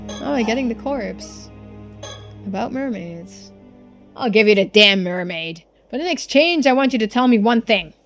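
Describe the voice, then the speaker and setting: A woman voicing a gruff, greedy older collector obsessed with immortality, talking bluntly and dismissively in video game dialogue. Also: fairly high pitch, lively intonation, moderate pace, faint music behind. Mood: demanding. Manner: dismissive, blunt, folksy speech.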